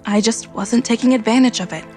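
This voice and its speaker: A woman's voice: a little high, brisk, warm tone, soft background music, lightly acted. An actress playing a restless, withdrawn young woman in a naturalistic audio drama, subdued but resolute. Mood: subdued, wistful.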